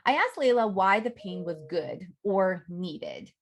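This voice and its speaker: A middle-aged woman gives an academic faculty talk in a measured way, reading prepared remarks with frequent ums. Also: mid-range voice, animated, noisy background. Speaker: academic speaker.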